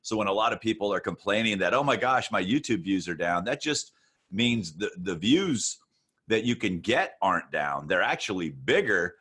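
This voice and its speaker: Man, low deep voice, podcast host, engaged explanatory talk, everyday analogies. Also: expressive, normal speed, bright, forceful tone, breathy edge. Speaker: podcast host, music business coach. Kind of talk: casual podcast interview.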